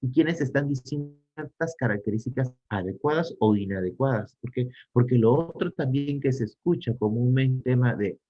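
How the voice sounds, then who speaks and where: A man's voice: mid-range voice, animated, normal speed, mellow, lo-fi sound. Peruvian man lecturing with rhetorical questions. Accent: Peruvian Spanish. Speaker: psychologist lecturer. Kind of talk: online conference talk.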